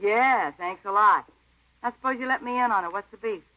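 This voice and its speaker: A woman's voice: mid-range voice, a little fast, lo-fi sound, lightly acted. An actress playing a tough, sardonic female ex-convict under questioning in an old-time radio drama, slangy and defiant. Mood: wary. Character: tough, sardonic working-class female ex-convict burglary suspect.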